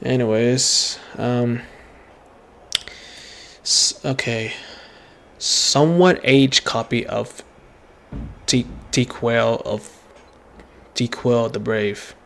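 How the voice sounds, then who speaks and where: Gravelly teenage guy rambling over a game. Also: mid-range voice, steady tone, very slow, pauses often, fairly bright tone, a little hiss or hum. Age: late teens. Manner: rambling, repetitive, hesitant. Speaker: gaming streamer. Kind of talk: casual playthrough commentary.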